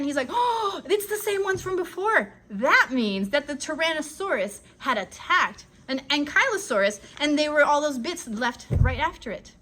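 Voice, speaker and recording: High, bright-voiced woman teaching kids. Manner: animated storyteller, asks listeners questions, stretches key words. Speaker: children's show host and educator. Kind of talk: interactive kids' dinosaur lesson on a live stream.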